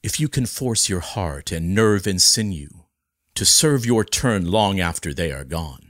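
A man giving a solemn, deliberate dramatic recitation in the style of a poetry reading, with emphatic pauses. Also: deep voice, fairly bright tone, no echo. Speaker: poetry reader. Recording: close dry voice over background music. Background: music.

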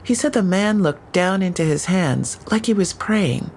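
A woman's voice: lowish voice, lively intonation, a little slow, darkish tone, a little hiss or hum. An actress playing an earnest female patrol officer in an audio drama, reporting in a precise, matter-of-fact way. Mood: sober, factual.

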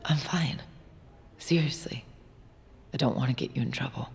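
A woman's voice: extremely low pitch, moderate pace, creaky, breathy edge, whispered, heavily acted. An actress playing a defiant, heartbroken young woman mind reader held prisoner in an audio drama, raw and bitter in delivery. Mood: bitter, wary. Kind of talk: naturalistic audio drama acting.

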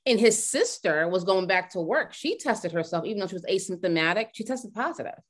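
A woman's voice: mid-range voice, lively intonation, a little fast. A woman hosting a conversational interview, affirming and asking probing questions. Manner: affirming, lots of mm-hmms, asks probing questions. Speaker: podcast host.

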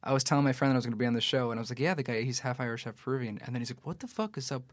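A man's voice: medium pitch, very fast, dry recording. A young man from New York City, an actor guest on a podcast, chatting casually with lots of fillers and swearing. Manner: loose and profane, many fillers.

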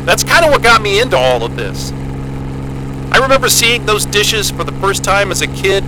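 A man's voice: sits high, very animated, normal speed. A man playing an eager, geeky American astrophysicist in an audio drama, speaking in an earnest, wordy, naturalistic way. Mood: awed, nostalgic. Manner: earnest, wordy, enthusiastic explainer. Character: eager, geeky male astrophysicist starting a solo Antarctic posting. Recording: loud engine hum of a helicopter cabin.

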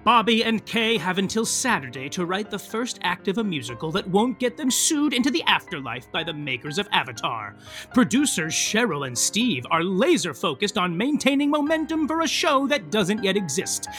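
A woman's voice: medium pitch, sing-song, normal speed, fairly bright tone, soft background music. A woman playing a breezy, tongue-in-cheek narrator, giving scripted comic narration in a wry, chatty way. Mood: wry, playful.

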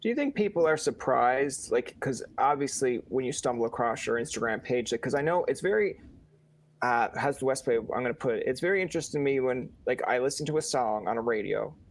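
A man's voice: medium pitch, fairly quick. A man with a Newfoundland accent hosting a casual podcast, asking long, rambling, jokey questions. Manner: rambling, jokey, animated asides. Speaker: podcast host.

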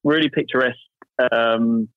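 A man chatting as a podcast guest, relaxed, often hunting for the right word. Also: mid-range voice, moderate pace, dry recording, narrow, tinny audio. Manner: relaxed after a few beers, hesitant, searches for words. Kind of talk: casual podcast chat.

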